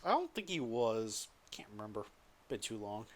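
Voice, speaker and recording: A man's voice: lowish voice, big pitch swings, normal speed. A man chiming in with casual banter as he reacts to a story being read. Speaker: co-commentator reacting to a reading.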